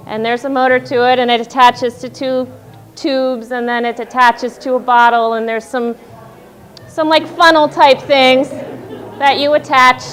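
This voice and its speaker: Woman in her 30s, loud and wry, high voice, telling a story on stage. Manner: loud, wry, self-deprecating. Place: stage at a live storytelling event.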